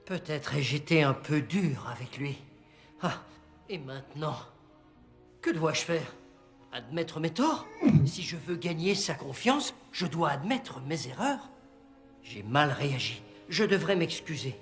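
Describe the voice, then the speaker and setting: An exasperated cartoon father who distrusts what he hears. His voice runs high and very animated, with a theatrical, scolding delivery. Music plays underneath. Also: slow pace, heavily acted. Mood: regretful.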